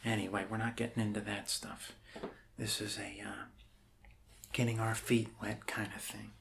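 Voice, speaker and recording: A man with an American accent playing a friendly, rambling doctor in a soft-spoken ASMR roleplay, halting, reassuring and dryly jokey. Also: fairly low pitch, flat delivery, creaky, noisy background. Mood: hushed, reassuring. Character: friendly, rambling human doctor welcoming an alien to Earth.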